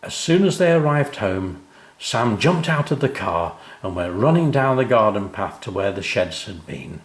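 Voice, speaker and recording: An older man narrating his own children's story in an expressive storytelling style. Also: fairly low pitch, moderate pace, roomy sound.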